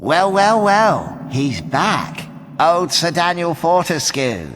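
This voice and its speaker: An actor playing a wry, mocking stone gargoyle in a video game, with a grandiose and dryly theatrical delivery. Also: male voice, a little high, sing-song, takes it slowly, fairly bright tone, big-room sound, soft background music, full character voice. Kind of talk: animated game narration and dialogue.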